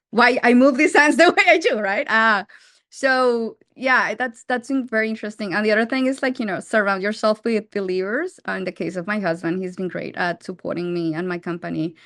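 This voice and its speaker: A woman's voice: medium pitch, brisk, fairly bright tone, no room sound, studio quality. A woman in her forties with a Colombian accent, a startup founder answering interview questions with frequent restarts and fillers. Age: 40s.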